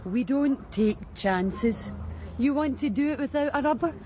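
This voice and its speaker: An actress playing a brash, streetwise Glasgow streetwalker in a radio drama, breezy and frank, with a Glaswegian accent. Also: female voice, high voice, expressive, a little slow, mellow. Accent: Glaswegian Scottish. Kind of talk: naturalistic radio drama acting. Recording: faint urban street noise.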